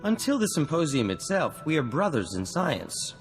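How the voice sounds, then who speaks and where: Proud, animated scholarly inventor. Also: male voice, fairly high pitch, normal speed. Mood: proud, eager. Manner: formal, pompous, precise. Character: proud, courteous male alien inventor, grandly scholarly. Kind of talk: video game cutscene acting. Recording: long reverb, music underneath.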